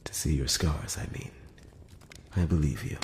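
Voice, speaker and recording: A man playing a courteous, cautious elf emissary in a close-up ASMR roleplay, speaking in a hushed, breathy, halting whisper and murmur. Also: a bass voice, expressive. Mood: gentle, curious. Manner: hushed, halting, measured, earnest. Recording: roomy long reverb, faint noise.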